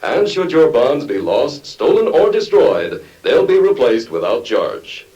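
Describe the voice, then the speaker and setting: A man's voice: medium pitch, big pitch swings, measured pace, mellow. A young man reading scripted announcements in a 1950s radio style. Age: young adult. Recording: dull, distant old radio recording, music.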